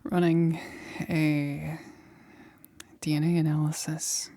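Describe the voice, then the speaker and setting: A woman playing a nervous scientist in a whispered ASMR roleplay, speaking softly and breathily with hesitant, halting stammers. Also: low-pitched, level tone. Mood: clinical, hesitant. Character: nervous female scientist examining an alien specimen.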